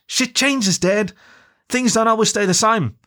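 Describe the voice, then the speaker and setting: Male narrator doing a young dog woman, hurt, raised pitch, frustrated. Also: unhurried, fairly bright tone. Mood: upset. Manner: pleading, frustrated. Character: anxious young female dog, hurt and defiant.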